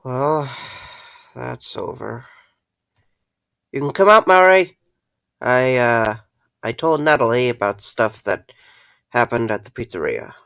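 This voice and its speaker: Casting: young male night guard played by a man, creaky voice, sarcastic, caring, very dry recording. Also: medium pitch, lively intonation, slow, pauses often, fairly bright tone, no echo, heavily acted. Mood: awkward. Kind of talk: audio drama acting.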